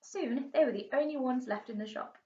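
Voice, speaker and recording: A woman teacher reading a children's storybook aloud in an expressive storytelling style. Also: sits high, brisk. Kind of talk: storybook narration. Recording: roomy, distant, muffled home recording.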